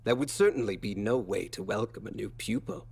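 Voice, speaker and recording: Unctuous school official, breathy husky voice, animated pitch, formal, quietly threatening, video game. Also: male voice, exaggerated voice. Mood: veiled threat. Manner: formal, measured, insinuating. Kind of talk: theatrical video game cutscene acting.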